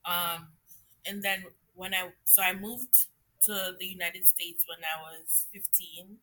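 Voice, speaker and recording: A young woman from Trinidad and Jamaica talks as a guest on a podcast. Her delivery is slow and easygoing, and her tone is bright and sharp. She reflects as she goes, using casual fillers and laughing often. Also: lowish voice, fairly even intonation, rough recording. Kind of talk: conversational interview storytelling.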